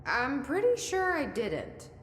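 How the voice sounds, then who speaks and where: An actress playing a determined, guarded young director of a secret agency, dry and matter-of-fact in game dialogue. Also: female voice, high-pitched, huge pitch swings, slow, full character voice. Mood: puzzled. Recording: echoey hall, long reverb, faint noise.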